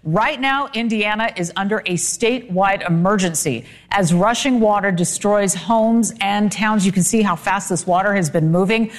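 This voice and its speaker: A woman news anchor reads the top story in a clear, composed way. Her voice sits at a mid pitch and stays serious throughout. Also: moderate pace, hardly any pauses.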